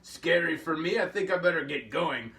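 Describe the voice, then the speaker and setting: A man's voice: on the high side, expressive, normal speed, fairly bright tone, recorded from a distance, voice pushed up. A man doing a nervous little alien. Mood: worried. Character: nervous male alien just landed on Earth.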